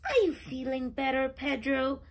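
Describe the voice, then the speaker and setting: Gentle helpful lamb girl, high voice, as a woman reads it. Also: a little slow, gravelly, exaggerated voice. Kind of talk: read-aloud storybook voice.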